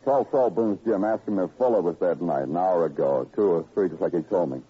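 Deep-voiced, brooding radio detective. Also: male voice, brisk, slightly rough. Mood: businesslike. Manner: hard-boiled, clipped questioning and moody, poetic narration. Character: terse, brooding male New York police detective. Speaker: lead detective and narrator. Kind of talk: old-time radio crime drama acting and narration. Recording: dull vintage radio recording with music.

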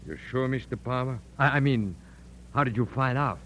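An actor in an old radio drama playing a crooked saloon boss, smooth and obsequious to his betters, bossy to underlings. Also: medium pitch, moderate pace, a bit bright, slight background noise, lightly acted. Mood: eager, obsequious.

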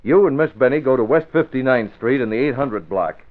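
A brisk, decisive newspaper publisher, played by a man in vintage radio drama style. His voice sits at a medium pitch with authority. The recording is thin and phone-like. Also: lively intonation, lightly acted. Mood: commanding. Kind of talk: old-time radio drama acting.

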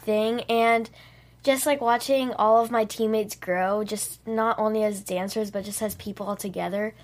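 A woman's voice: medium pitch, steady tone, normal speed. A teenage girl chatting casually as an interview guest, with a youthful, gushing way of talking peppered with filler words. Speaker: young dancer, interview guest. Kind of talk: casual chat.

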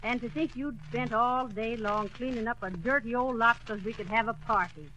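A woman's voice: a little high, normal speed, bright, forceful tone, poor audio. A woman playing a scolding but fond wife in a 1940s radio sitcom.

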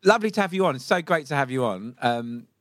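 A man's voice: medium pitch, expressive, quick pace, over a noisy crowd. A man co-hosting a podcast, interviewing a guest casually with playful asides and lots of ums. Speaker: podcast co-host.

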